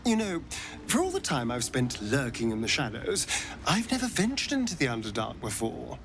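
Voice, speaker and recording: A man's voice: mid-range voice, huge pitch swings, normal speed, soft background music. An actor playing a sardonic, flamboyant male vampire spawn in a game, speaking in a wry, playful, theatrical manner.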